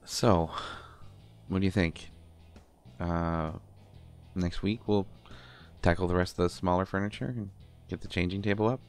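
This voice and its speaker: Man acting as a slow, deep-voiced, bashful boyfriend. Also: fairly even intonation, smooth, soft background music. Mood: tender, bashful. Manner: halting, stammering, gently teasing. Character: affectionate, bashful man comforting his pregnant partner. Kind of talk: intimate roleplay audio acting, one side of a conversation.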